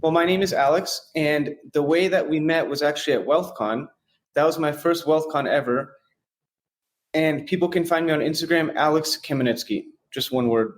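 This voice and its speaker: A man's voice: a little high, moderate pace. A man guesting on a podcast, answering casually and briefly. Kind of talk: casual interview chat.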